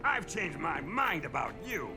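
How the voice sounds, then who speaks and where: A man's voice: fairly high pitch, very animated, unhurried, vocal fry, full character voice. A man voicing a brash, frank animal resistance fighter in a video game. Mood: impressed. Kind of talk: video game cutscene acting. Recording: loud music behind the voice.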